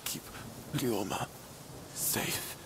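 An actor playing a dying, remorseful killer swordsman in a video game, breathy and labored, pleading in broken phrases. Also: very slow, background noise. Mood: weak pleading. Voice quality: breathy, gravelly. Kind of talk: video game cutscene acting.

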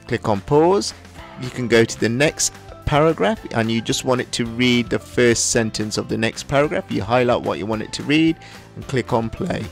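A man narrating a software tutorial in an instructional, step-by-step way. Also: mid-range voice, expressive, moderate pace, vocal fry, faint music behind. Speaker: tutorial host.

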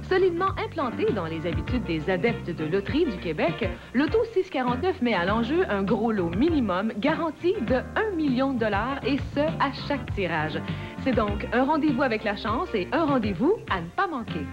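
A woman's voice: fairly high pitch, sing-song, moderate pace. A woman in her thirties with a Québécois accent, hosting a lottery draw promo on a TV set with a smiling, inviting delivery. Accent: Québécois French. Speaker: lottery show host. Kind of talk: promo announcement. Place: TV studio set. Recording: dull 1980s TV audio with music.

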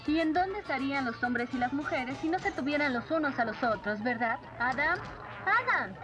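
A proud young guard captain with a high, spirited voice. She speaks Latin American Spanish with confidence, as cartoon dub acting, over clearly audible music. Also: animated, normal speed. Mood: teasing.

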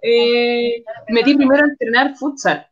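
A woman's voice: a little high, fairly even intonation, normal speed. A woman in her twenties with a Chilean accent, giving casual interview answers as a guest footballer over a video call. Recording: dull, lo-fi video call audio.

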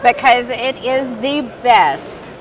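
A woman answers interview questions with promotional enthusiasm, her voice a touch high. She stands a little away from the mic on a convention floor, with faint hall noise around her. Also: slow, distant mic, crowd noise. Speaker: general manager of the expo.

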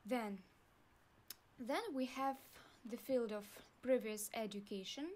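A young woman with a Russian accent, calmly walking viewers through an online form in a tutorial. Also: a little high, slight background noise. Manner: slow, deliberate, pauses while clicking. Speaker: university staff guide. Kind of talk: screen-recorded tutorial walkthrough.

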